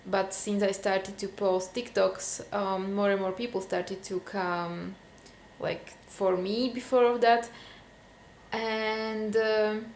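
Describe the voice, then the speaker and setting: A woman's voice: mid-range voice, fairly even intonation, slow pace, echoey room, a little hiss or hum. A young woman from Slovakia with a Slovak accent, venting casually to camera in a vlog, with many fillers. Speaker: vlogger.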